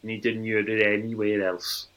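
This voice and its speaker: A man's voice: on the low side, little pitch movement, normal speed, fairly bright tone. A man in his forties chatting casually about a film as a podcast co-host. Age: 40s. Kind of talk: casual film review chat.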